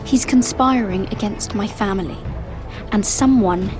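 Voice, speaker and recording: An anxious but determined young noblewoman in a fantasy game, acted by a woman. Her mid-range voice is urgent and insistent, with music clearly playing behind it. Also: mid-range voice, measured pace, close to an everyday voice. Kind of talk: dramatic fantasy video game acting.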